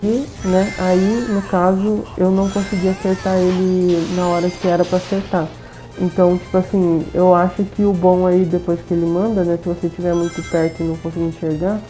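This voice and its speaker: Mulher brasileira de voz suave e grave. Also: female voice, lowish voice, mellow, low-quality recording. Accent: Brazilian. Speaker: gameplay commentator. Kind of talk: casual let's play commentary.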